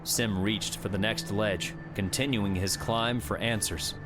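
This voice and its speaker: A man's voice: on the low side, steady tone, measured pace, music in the background. A man reading a scripted adventure audiobook as a dramatic narrator.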